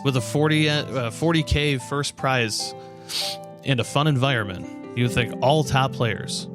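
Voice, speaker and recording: Casting: male sports podcast co-host, medium pitch, slangy and laid-back, faint music underneath. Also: measured pace. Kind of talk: casual sports chat.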